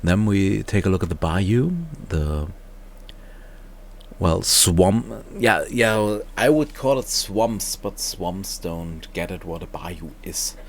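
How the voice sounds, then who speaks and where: Deep male voice, slow delivery, casual reviewer, halting and unscripted. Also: animated, a little hiss or hum. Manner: halting, searching for words, often chuckling to himself. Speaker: reviewer, video host. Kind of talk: casual off-the-cuff book review.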